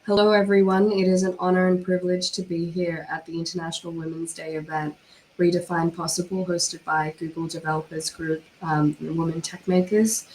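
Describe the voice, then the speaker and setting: A hoarse woman with a cold, giving a formal prepared talk over a video call from home at night. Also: lowish voice, level tone, a little mellow, slight background noise, low-quality recording. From Australia. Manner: measured, formal, with occasional fillers. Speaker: panel speaker, cyber criminologist. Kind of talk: formal prepared online presentation.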